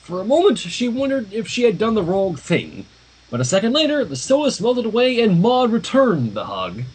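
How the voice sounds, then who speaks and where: Husky, high-voiced man reading aloud. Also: huge pitch swings, moderate pace, low-quality recording. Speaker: fan fiction reader. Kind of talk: livestream story readaloud with asides.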